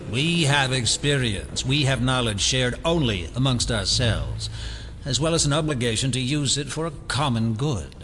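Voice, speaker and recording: An actor playing a proud, formal elder of a fallen secret cabal in an audio drama. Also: male voice, medium pitch, lively intonation, moderate pace, a bit bright, slight background noise, natural voice. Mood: guarded authority. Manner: formal, grave.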